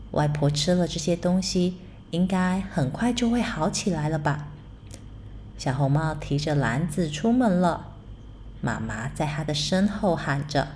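Slow, mellow-voiced woman storyteller. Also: sits low, a little hiss or hum. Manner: soft, slow, soothing storytelling. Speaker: storyteller mother. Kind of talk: bedtime story narration.

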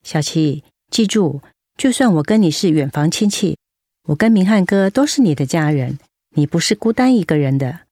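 A caring nurse with an older-sister firmness in a radio drama. She has a lowish voice and Taiwanese Mandarin accent, counselling earnestly in a dry, close recording. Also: expressive, normal speed, no echo. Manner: earnest, gently admonishing. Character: caring, firm older-sister nurse looking after a relative.

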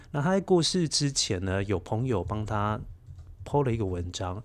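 Taiwanese guy in his 40s, lowish voice, thoughtful podcast guest explaining things, gently stressing points. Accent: Taiwanese Mandarin. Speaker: guest, children's story podcaster. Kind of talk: reflective interview talk.

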